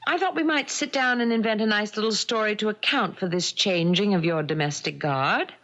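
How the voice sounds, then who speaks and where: An actress in a 1970s radio drama playing a calculating blackmailer and novelist, with an arch, expressive delivery. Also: female voice, medium pitch, brisk, muffled recording, close to an everyday voice. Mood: sly, confident.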